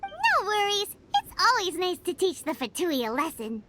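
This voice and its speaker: A woman voicing a tiny, childlike, excitable fairy companion in a video game, with a squeaky, exclamatory delivery. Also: moderate pace, noisy background, heavily acted. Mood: chatty. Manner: refers to herself in third person, exclamatory. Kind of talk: scripted video game voice acting. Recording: game music under the voice.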